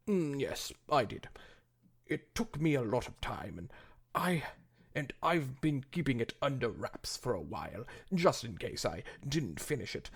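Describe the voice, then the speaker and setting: A man voicing a pompous pegasus pony in a stilted, over-proper and drawn-out manner, with a husky, creaky voice. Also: medium pitch, exaggerated voice.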